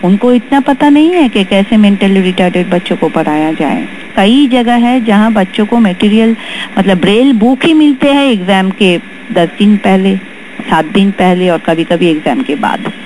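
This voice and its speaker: Female Indian educator as guest, mid-pitched, emphatic, English phrases mixed in, slight background noise. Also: moderate pace, darkish tone, rough recording. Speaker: special education teacher trainer, guest. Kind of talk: interview opinion, spoken earnestly.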